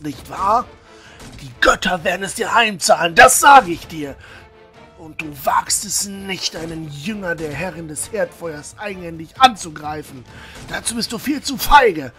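An indignant, pious young acolyte acted by a man in a fantasy audio play. His voice is forceful and sharp, with faint music behind. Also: on the high side, expressive, a little slow. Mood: defiant. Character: indignant pious teenage male acolyte adventurer. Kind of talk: fan-made fantasy audio drama acting.

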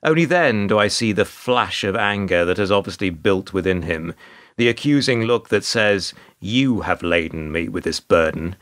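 A man narrating a radio play as a wry, put-upon storyteller, measured and sardonic. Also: deep voice, animated, a bit bright. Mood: wry, dry. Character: wry, put-upon male narrator recalling awkward encounters.

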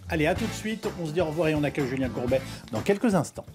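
A man's voice: a little high, expressive, very fast, loud music behind the voice. A middle-aged man hosting a live radio show from a studio, chatting and linking segments. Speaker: radio host. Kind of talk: live radio presenting and banter. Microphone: studio microphone.

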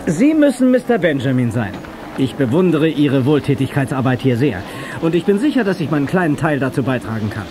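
An actor playing a confident, daring young race driver in an audio drama, on a noisy old cassette. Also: male voice, medium pitch, big pitch swings, brisk, music in the background, natural voice. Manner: self-assured.

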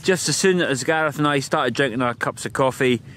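A man's voice: medium pitch, a little fast, fairly bright tone, a little hiss or hum. A man in his forties hosting an outdoor vlog on a hilltop, talking to a camera held at arm's length in a chatty, upbeat, self-mocking way. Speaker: vlog host, landscape photographer. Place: open windy hilltop outdoors.

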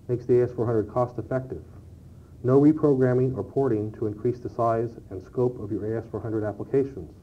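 A man giving scripted product answers as an interview guest on an old cassette. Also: mid-range voice. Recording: dull, noisy early-1990s cassette tape.

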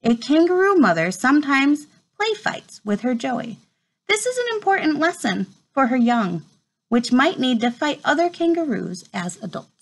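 A woman in her thirties reading a children's nature book aloud in an expressive storytime manner, recorded at home. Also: sits high, moderate pace, poor audio. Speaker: read-aloud host. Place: small room at home, indoors.

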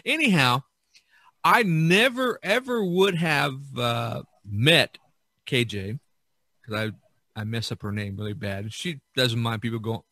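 A man's voice: medium pitch, expressive, unhurried, bright, forceful tone, no room sound. A man chatting casually as a podcast host, reminiscing in a rambling way that repeats and circles back.

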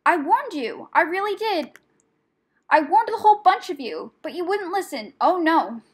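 A woman's voice: sits high, expressive, normal speed, fairly bright tone, pitched above the performer's own voice. A woman voicing a cross, indignant female rat in a storybook, muttering, hissing and exclaiming. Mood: urgent, indignant. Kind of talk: audiobook character voice.

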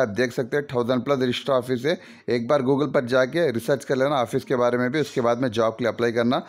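Chatty man advising on job adverts. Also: mid-range voice. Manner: chatty, repetitive, advisory, with many fillers like "okay". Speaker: YouTube job-vacancy channel host. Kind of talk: casual voice-over talking through job adverts. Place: voice-over over scrolling job advert images.

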